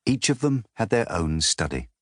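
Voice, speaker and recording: Male storybook narrator, lowish register, lively intonation, dry studio audiobook sound. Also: normal speed, dry recording.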